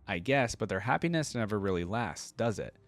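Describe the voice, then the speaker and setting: A man voicing a sullen, gloomy young female fantasy creature who loathes happiness, in a morose, dismissive, deadpan way. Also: fairly low pitch, a little fast, no echo. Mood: bleak, resigned.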